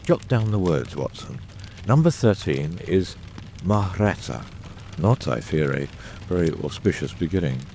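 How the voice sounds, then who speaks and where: A man acts out a brilliant middle-aged detective in an audiobook drama, with a very low, creaky voice. He is exacting and theatrical, phrasing eruditely with wide swings of pitch and deliberate pauses. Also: dark tone, slight background noise, exaggerated voice. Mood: wry, musing.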